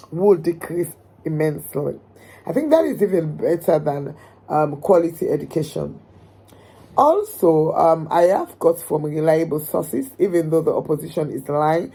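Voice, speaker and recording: A woman from Sierra Leone with a hoarse voice, speaking earnestly and emphatically about politics, with frequent ums. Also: low voice, warm tone, rough recording. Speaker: political commentator. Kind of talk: direct-to-camera opinion talk.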